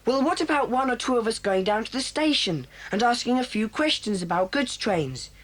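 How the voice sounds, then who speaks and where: A woman voicing a decisive boy who leads a children's club in an audio drama, briskly taking charge. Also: a little high, animated, a little hiss or hum, natural voice, poor audio.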